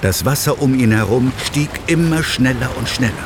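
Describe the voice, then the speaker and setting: A man narrating a scripted children's audio play as an expressive storyteller. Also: mid-range voice, normal speed, noisy background. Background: music, vehicle sounds.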